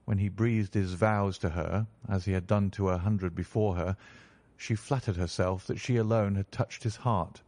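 A man reading a story, voicing a stern, calm male detective in a low, measured, authoritative tone. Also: dry recording.

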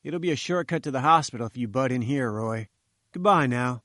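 A man's voice: medium pitch, expressive, brisk, bright, forceful tone, no echo, clean studio sound. A man voicing a confident male journalist who speaks in a breezy, firm way.

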